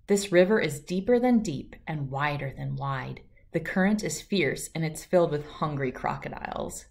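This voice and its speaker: A woman's voice: low voice, expressive, moderate pace, creaky, a little mellow, voice pushed down. A woman voicing a boastful, dreamy, lazy male gnu in a children's storybook, with a dismissive air. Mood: awed.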